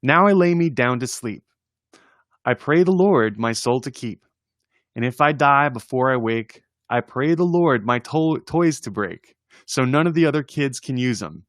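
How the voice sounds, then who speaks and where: A man's voice: mid-range voice, lively intonation, unhurried, dry recording, studio quality. A man reading humorous children's poems aloud in an informal, chatty, amused way, as a story-time presenter. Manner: informal, chuckling, chatty asides between readings.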